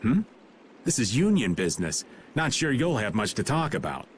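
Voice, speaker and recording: A man's voice: mid-range voice, big pitch swings, moderate pace, a little hiss or hum, close to an everyday voice. A man playing a roguish, easygoing middle-aged guild veteran in a video game, with a teasing, folksy manner. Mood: breezy, wry. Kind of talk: animated video game dubbing.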